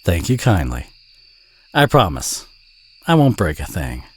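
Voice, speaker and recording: Casting: man to play modest, teasing Southern ranch hand for intimate audio roleplay; lowish pitch, lively melodic delivery, folksy. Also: measured pace, background noise, full character voice. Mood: modest, reassuring. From southern United States. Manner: folksy, chatty, gently teasing. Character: friendly, folksy, modest young country boy rancher.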